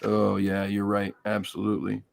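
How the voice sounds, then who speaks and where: A man with a low, gravelly voice hosts a live-stream chat, his throat hoarse and worn. He talks in a friendly, rambling way and calls out viewers by name. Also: monotone, moderate pace, a little mellow. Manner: chatty, greets viewers by name.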